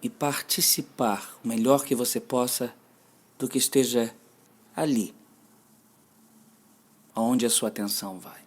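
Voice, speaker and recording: A man with a Brazilian Portuguese accent guiding a mindfulness meditation, giving gentle, deliberate instructions with long pauses. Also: medium pitch.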